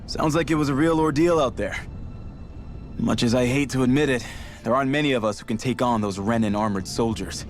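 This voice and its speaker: A man's voice: medium pitch, brisk, lightly acted. A man playing a plain-spoken, wry resistance comrade in a video game cutscene, with a folksy manner. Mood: grudging respect. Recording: music behind the voice. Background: game soundtrack music.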